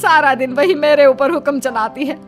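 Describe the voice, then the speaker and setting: A woman's voice: high voice, moderate pace, soft background music, lightly acted. A woman playing an exasperated, scheming middle-aged mother-in-law in a Hindi cartoon story, with a dramatic, complaining delivery.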